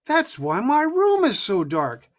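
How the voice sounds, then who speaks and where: A storyteller voicing a young country boy who speaks eagerly and excitedly. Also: mid-range voice, normal speed. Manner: eager, excited, at times hesitant.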